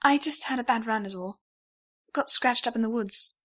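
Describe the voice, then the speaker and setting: A woman voicing a young, trail-worn female pony brushing off concern, brisk and defensive. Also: on the high side, natural voice. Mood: evasive.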